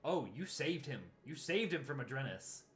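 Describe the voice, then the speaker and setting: A man's voice: mid-range voice, expressive, normal speed, a bit bright. Laid-back male game master chatting. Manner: laid-back, laughs often, trails off mid-sentence. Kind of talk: casual post-session chat.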